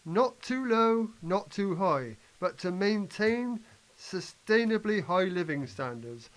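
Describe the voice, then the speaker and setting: Male amateur actor as assured reform advocate, high voice, lecturing a doubter impatiently, stage-play delivery. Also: animated, takes it slowly, low-quality recording. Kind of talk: amateur scripted play reading.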